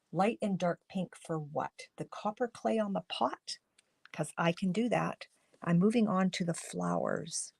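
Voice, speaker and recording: Woman host of a live craft stream, slightly hoarse, slow and chatty, greets viewers as she works. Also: on the low side, no echo. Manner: chatty, thinks aloud while coloring, greets viewers by name. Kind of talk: casual live crafting demo talking to viewers.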